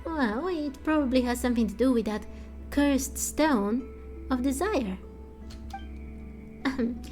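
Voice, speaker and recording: A woman's voice: high-pitched, a little slow, a little mellow, soft background music. A woman voicing a cocky, theatrical thief from a game, boastful and conspiratorial, in a fan voice-over. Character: cocky, theatrical self-styled master thief.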